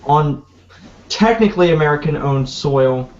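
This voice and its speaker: A young man co-hosting a casual podcast, speaking in dry, deadpan remarks and loose banter. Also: a little high, a little slow, dull audio. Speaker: podcast co-host.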